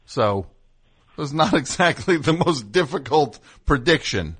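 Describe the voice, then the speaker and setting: Man, radio host, excitable, rambling, bright tense voice, casual comedy chat. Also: unhurried, breathy edge. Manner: excitable, rambling, jumps between high and low. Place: radio studio.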